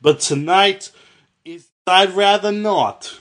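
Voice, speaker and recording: Man putting on a high-pitched, rambling New Jersey podcast host. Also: slow pace, fairly bright tone. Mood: boisterous, welcoming. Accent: exaggerated New Jersey sliding into Russian. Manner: mangled grammar, rambling. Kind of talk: comedic in-character podcast hosting.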